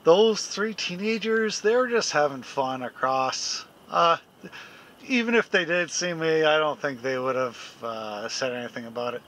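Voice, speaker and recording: A man in his forties with a fairly high, bright voice talks slowly and easily to his camera during a winter camp. He chuckles often and rambles with lots of fillers, speaking close to the lens, first outdoors in the snow and then inside a small tent. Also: animated, a little hiss or hum. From Canada. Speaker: camping vlogger. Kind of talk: casual vlog chat to camera. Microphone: handheld camera close up, then fixed camera a few feet away.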